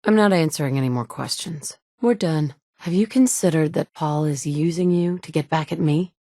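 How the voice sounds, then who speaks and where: A woman's voice: low voice, creaky, a little mellow, no echo, exaggerated voice. An actress playing a determined young mother searching for her kidnapped son in a video game, speaking in a dry, blunt, sometimes sarcastic way. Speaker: player character. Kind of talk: video game dialogue lines.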